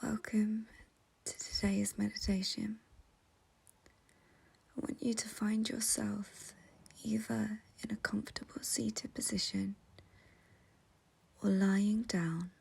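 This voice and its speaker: A woman leading a guided meditation in a soft, breathy, whispery voice, gentle and soothing, with long pauses. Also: medium pitch, flat delivery.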